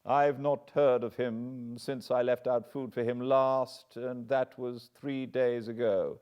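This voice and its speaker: A man's voice: medium pitch, flat delivery, slow, clear, resonant tone, highs cut off, voice pushed up, lightly acted. An English man voicing an anxious, earnest older butler who pleads and frets. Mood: guarded. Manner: agitated, pleading.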